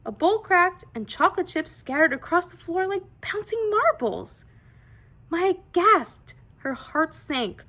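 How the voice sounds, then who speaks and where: A woman reading a children's story aloud in an expressive, deliberate style with dramatic emphasis on key words. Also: extremely high pitch. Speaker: children's read-aloud host.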